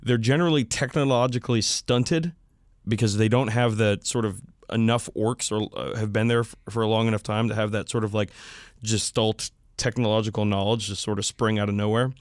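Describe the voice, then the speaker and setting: A man with a fairly low voice hosts a casual hobby discussion. He explains details with geeky enthusiasm, and the sound is close and dry. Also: fairly even intonation, a little fast, dry recording. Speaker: podcast host.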